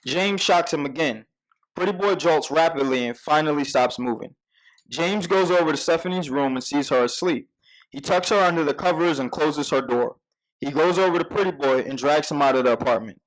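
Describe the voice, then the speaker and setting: A man reading scripted stage directions as narrator at a live table read, measured with occasional stumbles. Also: fairly high pitch, rough recording.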